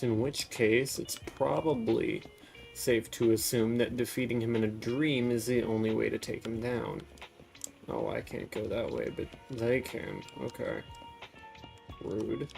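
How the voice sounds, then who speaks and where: A man streams a video game and talks to his viewers in a casual, musing way. He takes his time, and his voice often drops into a creaky fry. Soft music plays faintly behind him. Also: mid-range voice, a little slow. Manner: musing aloud, chatting to viewers.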